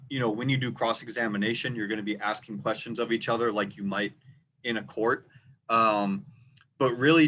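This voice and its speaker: A man with a rural Minnesota accent, a debate coach teaching students in an instructional video, speaking loudly and deliberately so each word stands apart. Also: lowish voice, a little hiss or hum.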